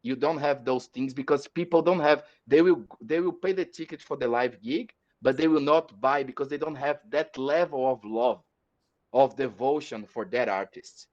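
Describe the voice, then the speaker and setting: A man from Brazil speaking English with a Brazilian accent, a metal musician chatting on a podcast, halting and repeating words as he searches for them. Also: medium pitch. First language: Portuguese. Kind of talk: casual podcast chat. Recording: dull lo-fi audio.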